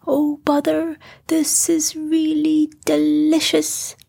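A woman voicing a gentle, naive, honey-loving male bear, mild and polite, in a children's bedtime story. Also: sits high, completely flat delivery, slow pace, gravelly, dry recording, voice pushed up, full character voice. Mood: delighted.

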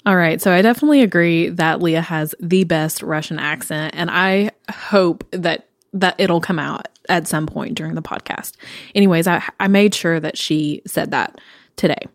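Chatty twenty-something woman, low and dry. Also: moderate pace, no echo. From Knoxville, Tennessee. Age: 20s. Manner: chatty, upbeat, with frequent ums. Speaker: podcast co-host. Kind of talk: casual podcast chat.

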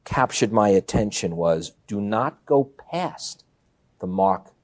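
A man's voice: on the low side, expressive, slow. A man hosting an interview show, conversationally quoting points and adding his own comments.

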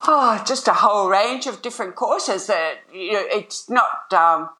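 A woman's voice: mid-range voice, a little slow, sharp tone, rough recording. An older retired woman with an Australian accent, chatting in an upbeat, rambling way as an interview guest. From Sydney, Australia. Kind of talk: casual interview chat.

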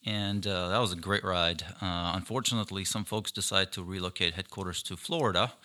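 A man's voice: low-pitched, steady tone, a little fast, sharp tone. A man with a Ukrainian accent, a guest chatting casually on a podcast and telling easygoing, self-deprecating stories. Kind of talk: casual chat. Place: podcast room.

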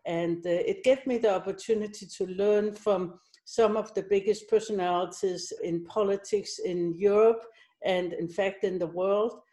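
A woman's voice: mid-range voice, steady tone, moderate pace, mellow. A middle-aged woman from Denmark, answering interview questions conversationally as a company executive. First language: Danish.

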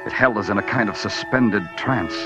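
An actor playing a world-weary, poetic New York police detective in a 1940s radio drama, clipped and brooding. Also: male voice, fairly low pitch, close to an everyday voice. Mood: brooding, grim. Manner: brooding, clipped, deliberate delivery. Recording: dull vintage radio recording, organ-style music behind.

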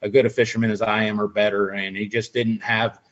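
A man's voice: lowish voice, level tone, brisk, a bit bright, dull audio. A man in his forties, a guest angler chatting casually and rambling with lots of fillers. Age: 40s. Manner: laid-back, rambling with fillers. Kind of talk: casual podcast chat.

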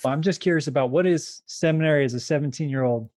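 A man's voice: a little high, fast talker, a little mellow. A man hosting an audio series, talking in an upbeat, friendly way. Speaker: podcast host. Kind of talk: podcast interview.

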